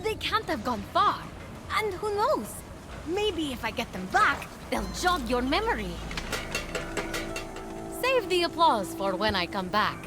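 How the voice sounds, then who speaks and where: Eager high-voiced young heroine. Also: female voice, sing-song, a little slow, pauses often, noisy background. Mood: upbeat. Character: eager young heroic female warrior, earnest and impatient. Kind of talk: video game cutscene acting.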